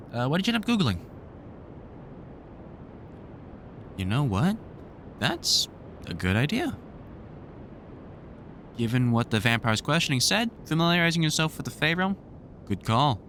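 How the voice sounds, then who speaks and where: Man playing a wry, protective older vampire hunter, lively intonation. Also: mid-range voice, slight background noise. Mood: gentle concern, then easygoing, approving. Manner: reassuring, wry, casually sweary. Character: gruff, protective older male vampire hunter, caring mentor. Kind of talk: one-sided audio roleplay, conversational comfort scene.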